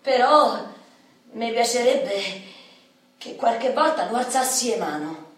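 A woman's voice: a little high, expressive, slow pace, distant mic. A woman playing a volatile, defiant wife in a radio drama, theatrical and edgy, with a Roman accent. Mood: manic, defiant. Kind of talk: stage-style radio drama. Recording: echoey hall from afar, hissy.

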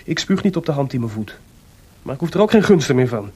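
An actor playing an impetuous, wry and defiant composer in a Dutch radio drama. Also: male voice, very animated, quick pace, darkish tone. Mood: defiant, scornful. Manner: wry, informal, headstrong. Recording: clearly noisy, rumbling archive radio recording.

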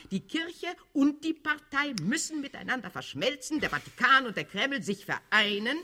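Radio drama role: old cardinal statesman, coldly authoritarian zealot, animated rhetorical sweep, cutting bright edge. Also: sits high, exaggerated voice. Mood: fanatical, solemn. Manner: declamatory, sardonic, chuckling rhetoric. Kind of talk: German-language radio drama acting.

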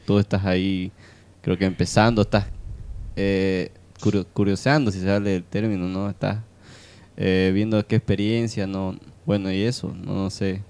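A man's voice: low-pitched, level tone, normal speed, darkish tone, smooth. A man with a Bolivian accent chatting casually on a radio panel in a hesitant, tentative way. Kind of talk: casual chat.

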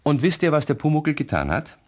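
A man's voice: a little high, very animated, a little fast, a little mellow. A man narrating a scripted children's story in a German radio play, speaking vividly to young listeners. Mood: lively telling. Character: male storyteller addressing children.

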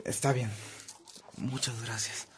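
A man's voice: mid-range voice, level tone, slow, a little hiss or hum, close to an everyday voice. A man playing a humble, grateful town doctor in a radio drama. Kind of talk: Spanish-language radio drama acting.

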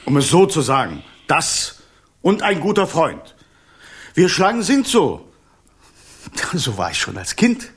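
An enchanted golden snake who was once a king, in a fairy-tale radio play. The voice is rather high for a man and husky, sweeping up and down with pompous courtesy and theatrical indignation. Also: sing-song, slow pace, pauses often, exaggerated voice. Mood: sly, reassuring.

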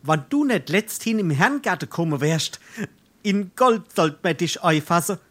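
An actor playing a lovesick, melodramatic young suitor in a dialect comedy, plaintive and earnest, with a Darmstadt accent. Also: male voice, high voice, lively intonation, moderate pace, a bit bright. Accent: Hessian, Darmstadt dialect. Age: young adult.

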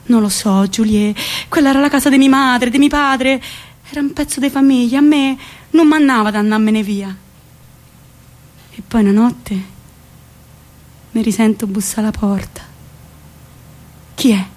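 A woman acts out a tender old grandmother from a village, with a central Italian accent. Her voice sits high as she tells theatrical memories in rustic, plainspoken, reflective phrases. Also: steady tone, unhurried, long pauses, darkish tone, lightly acted. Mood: reminiscing. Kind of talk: theatrical monologue storytelling.

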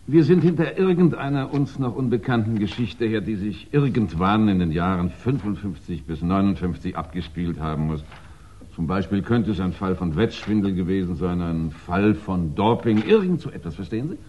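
A man's voice: low-pitched, expressive, normal speed. An actor playing a dutiful, plain-spoken police inspector working under his chief in a 1960s German radio crime drama. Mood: matter-of-fact. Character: dutiful, slightly grumbling English police inspector, subordinate. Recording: dull, noisy 1960s radio recording.

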